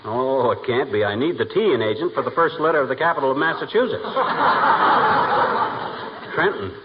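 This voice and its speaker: Actor as cocky wisecracking husband, noisy old radio. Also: male voice, mid-range voice, lively intonation, a little fast, lightly acted. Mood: puzzled, grumbling. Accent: American. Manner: folksy, slangy, boastful. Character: cocky, lazy, wisecracking small-town husband with big schemes. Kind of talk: 1940s radio comedy acting. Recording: noisy, muffled 1940s radio recording.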